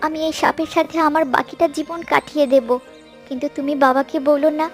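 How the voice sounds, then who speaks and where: High-pitched gentle dutiful young daughter. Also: female voice, steady tone, fairly quick, faint music behind. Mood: sorrowful, resigned. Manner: pleading, earnest. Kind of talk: animated fairy-tale cartoon acting.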